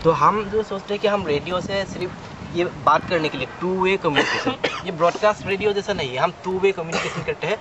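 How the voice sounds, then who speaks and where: A middle-aged Indian-accented man with a slightly high voice explains things informally as a radio trainer. He leans on everyday examples and English terms. The recording is muffled, with clear outdoor traffic noise behind him. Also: fairly quick. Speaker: ham radio volunteer and trainer. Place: outdoors at an urban portable radio station.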